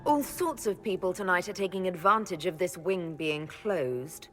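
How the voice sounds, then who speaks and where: A noble inquisitor heroine in a fantasy game. She is assured and probing, with measured phrasing and a lively melodic range. Soft music plays underneath. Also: on the low side. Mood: probing, composed. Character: noble woman agent of an inquisition, composed investigator. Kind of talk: dramatic fantasy game dialogue.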